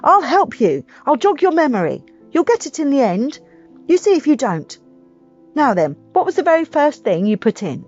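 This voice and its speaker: A woman voicing a wildly excited, impatient father with grand schemes in a children's audiobook, emphatic and urgent. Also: high-pitched, normal speed, pitched above the performer's own voice. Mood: eager. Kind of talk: audiobook character voice for children's story. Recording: muffled audio with music behind.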